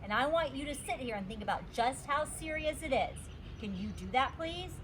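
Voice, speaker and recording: A woman voicing a stern but kindly male school principal in a children's storybook, speaking in a deliberate, admonishing way. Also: high-pitched, expressive, a bit bright, slight background noise, voice pushed up. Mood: serious. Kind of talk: children's storybook character reading.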